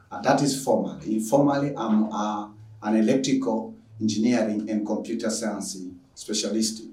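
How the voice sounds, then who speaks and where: A man's voice: fairly low pitch, dark tone. A man from Tanzania with a Tanzanian accent, introducing an online lecture as a teacher with emphatic, repetitive phrasing. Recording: echoey room, distant microphone.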